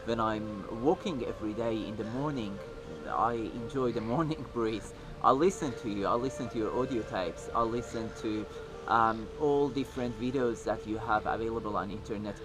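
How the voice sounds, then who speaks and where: A man's voice: on the low side, poor audio. Earnest Persian-accented man over music. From Iran. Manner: earnest, heartfelt, with frequent pauses and fillers. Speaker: video maker. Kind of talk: personal video message.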